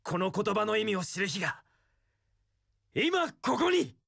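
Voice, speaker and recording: An actor playing a carefree, romantic young wandering warrior with a tender streak in an action game, theatrical, breezy and poetic. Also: male voice, sits high, big pitch swings, unhurried, gravelly, fairly bright tone, no echo, clean studio sound, full character voice. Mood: earnest. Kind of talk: Japanese action game voice acting.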